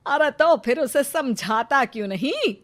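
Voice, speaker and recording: A woman voicing a stern, sharp-tongued older mother-in-law who scolds the household. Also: sits high, animated, measured pace. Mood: gloating. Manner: scolding and sarcastic, bitter asides. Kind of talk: cartoon story voice acting.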